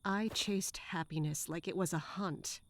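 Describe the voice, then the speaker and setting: Weary street-raised woman guard, played by an actress, bitter, slow delivery, close dry sound, audio drama. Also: on the low side, no room sound, close to an everyday voice. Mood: bitter, miserable. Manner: slow, downcast, self-reproaching.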